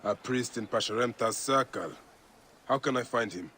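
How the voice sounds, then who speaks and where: An actor playing a brooding, loyal protector in ancient Egypt in a dramatic video game cutscene. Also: male voice, mid-range voice, normal speed, sharp tone, a little hiss or hum, lightly acted. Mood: grim, determined.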